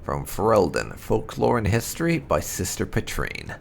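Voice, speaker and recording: Deep, creaky-voiced man narrating with lively swings. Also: normal speed, a little hiss or hum. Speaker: host of a game playthrough channel reading lore entries aloud. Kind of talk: expressive scripted narration, storybook-like reading of fantasy lore. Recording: music behind the voice.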